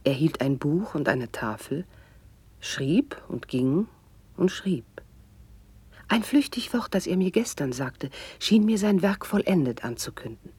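Dark, husky, eloquent noblewoman. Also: sits low, sing-song, a little slow, no echo, heavily acted. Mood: reassuring. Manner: poised, elevated, poetic delivery. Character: eloquent, devoted noblewoman, the princess's learned confidante. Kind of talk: classic German radio play, declaimed stage verse.

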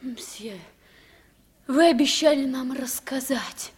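A woman's voice: high voice, takes it slowly. An actress playing an earnest, polite and patriotic young French boy in a 1950s radio drama. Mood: polite, curious.

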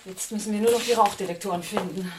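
An actress playing a sharp-witted, businesslike woman investigator in a German radio drama. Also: medium pitch, fast, noisy background, lightly acted.

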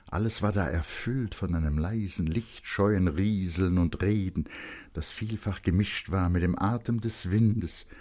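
Male narrator for radio literature: low, husky voice, dramatic and expressive scripted reading with hushed shading. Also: unhurried. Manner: expressive and dramatic, swelling in urgency and dropping to hushed tones. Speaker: narrator, audiobook reader.

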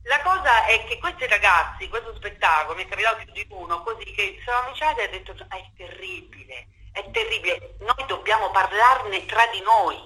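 Very bright-voiced woman, talkative guest. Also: medium pitch, lively intonation, fairly quick, poor audio. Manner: talkative, emphatic, rambling with rhetorical tags. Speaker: theatre director, interview guest. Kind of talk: spontaneous phone interview.

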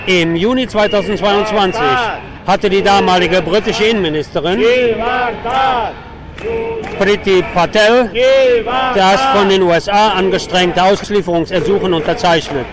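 A man's voice: high-pitched, steady tone, moderate pace, over a noisy crowd. A man giving an on-location radio report from a protest outdoors, with an opinionated, sardonic edge. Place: outdoors on a city avenue at a demonstration. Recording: noisy city street with traffic. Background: clear street and traffic noise.

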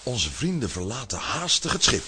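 A man's voice: medium pitch, big pitch swings, normal speed, bright, forceful tone, slight background noise. A man narrating a children's audio play in a scripted storytelling style.

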